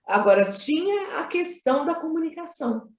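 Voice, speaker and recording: A woman's voice: high-pitched, very animated, normal speed, a little mellow. Echoey woman explaining emphatically. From Minas Gerais, Brazil. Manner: emphatic, repeats phrases, frequent tag questions. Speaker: film director being interviewed. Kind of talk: conversational interview, explaining creative choices. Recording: roomy, echoey sound.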